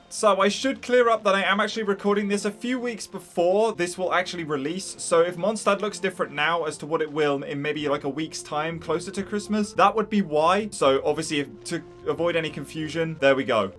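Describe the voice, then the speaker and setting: A man casually commenting over a game with playful, quick quips. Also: a little high, lively intonation, fairly bright tone, faint music behind. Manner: playful, reacts with short quips. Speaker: gaming YouTuber.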